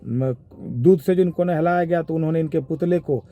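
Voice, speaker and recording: A man with a Bihari accent giving informal spoken commentary in a measured, halting, explanatory way. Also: fairly high pitch, dark tone. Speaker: commentator giving his analysis of a viral call.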